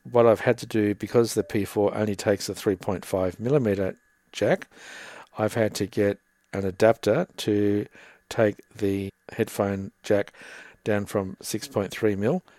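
A man with a low voice talks through a podcast gear demo in a plain, methodical way, explaining each part as he goes. The sound is close and dry, with little room tone. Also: fairly even intonation, measured pace, dark tone, dry recording. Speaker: gear reviewer. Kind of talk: casual product demo. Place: room with air conditioner running. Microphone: headset boom mic, then desktop dynamic mic.

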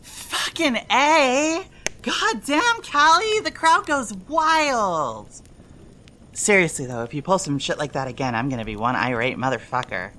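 A woman's voice: mid-range voice, very animated, unhurried, sharp tone, a little hiss or hum. A woman playing a brash, affectionate party-girl best friend in a fan audio drama, with loose slangy talk and plenty of swearing. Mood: exuberant.

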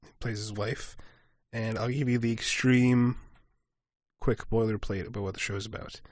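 A man co-hosting a podcast in casual chat, animated and acting out quick asides. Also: lowish voice, dry recording, clean studio sound. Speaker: podcast co-host.

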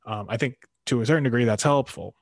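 A man in casual conversation, thinking aloud and giving his opinions in a halting way. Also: mid-range voice, dry recording, clean studio sound. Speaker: karate practitioner in discussion.

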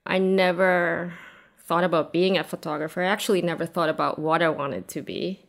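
A woman's voice: lowish voice, steady tone, a little fast, vocal fry. A woman, a photographer giving candid, reflective interview answers with a wry, easy laugh. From Argentina. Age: 50s.

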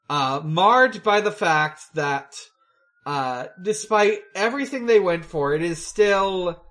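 Slow, halting podcast host. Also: medium pitch, a bit bright. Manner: halting, hedging, rambling delivery. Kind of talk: casual film review chat.

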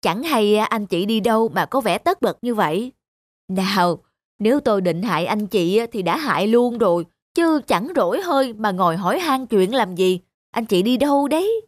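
A woman's voice: mid-range voice, lively intonation, moderate pace, no echo, studio quality, natural voice. A woman voicing a scheming, hungry fox in a cartoon fairy tale, crafty and coaxing in manner. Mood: falsely friendly. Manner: coaxing, crafty, feigned nonchalance. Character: sly, scheming hungry fox posing as friendly. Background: music.

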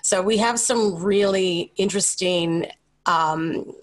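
A woman's voice: mid-range voice, takes it slowly. A woman in her forties with a Canadian accent, answering interview questions in a casual, conversational way. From Calgary, Canada. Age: 40s. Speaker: interview guest.

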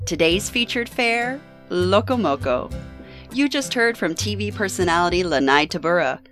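A woman narrating a podcast in a clear, scripted way. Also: on the low side, lively intonation, normal speed, a bit bright, faint music behind.

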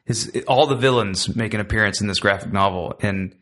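A man's voice: lowish voice, brisk, a bit bright. A man guest hosting a podcast, telling a story hesitantly with frequent restarts. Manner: hesitant, restarts often while recalling. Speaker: guest host. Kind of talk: casual chat.

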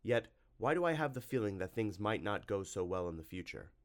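A man's voice: lowish voice, very animated, brisk. A man voicing a stern, earnest monster-hunting hero in a storybook, grave and commanding. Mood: pensive.

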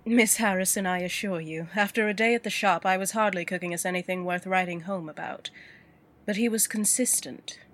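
An actress playing a wealthy businesswoman client in an audio drama, cool and measured. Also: mid-range voice, fairly bright tone, lightly acted. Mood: composed. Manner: cool, measured, dryly composed.

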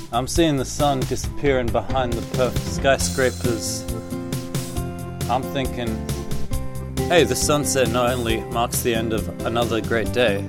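A man chatting casually and jokily as a podcast co-host. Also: mid-range voice. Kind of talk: casual comedic chat. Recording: music playing over the talk. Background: music.

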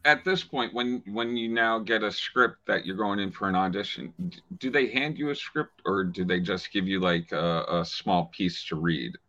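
Podcast host guy, low voice, laid back, kinda muffled lo-fi mic. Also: normal speed. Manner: relaxed, loose questions with fillers. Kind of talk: casual chat. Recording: dull lo-fi mic.

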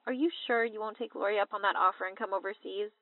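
A woman's voice: mid-range voice, steady tone, quick pace, no echo. Casting: bubbly young American sister, chatty and girlish, dry close sound squeezed through a phone, for audio drama. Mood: excited, happy. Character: gushing young American woman travelling in London, chatty little sister. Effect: phone voicemail filter. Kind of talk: audio drama, casual voice message. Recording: tinny phone-like line.

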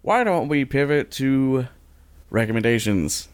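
A man's voice: medium pitch, animated, unhurried, clear, resonant tone. A man co-hosting a podcast in casual chat, joking and rambling with frequent swearing. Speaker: podcast co-host.

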